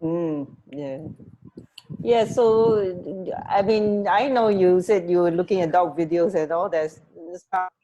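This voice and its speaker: A woman co-hosting a radio show in casual chat, speaking in short, slightly halting phrases. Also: on the low side, warm tone, dull audio.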